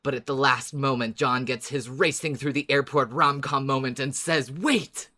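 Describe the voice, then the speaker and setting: A man's voice: medium pitch, steady tone, moderate pace, bright, forceful tone. Enthusiastic man co-hosting a podcast. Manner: enthusiastic, playful. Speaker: podcast co-host. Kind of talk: casual fan podcast chat and recap.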